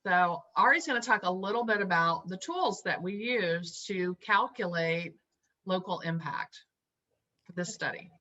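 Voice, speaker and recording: Bright-voiced woman hosting a webinar. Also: on the low side, expressive, muffled recording. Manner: brisk, explaining poll results. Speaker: webinar host. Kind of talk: webinar moderation.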